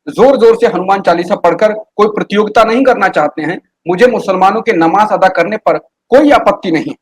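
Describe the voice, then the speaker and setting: High-pitched male news anchor. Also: poor audio. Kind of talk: scripted news narration.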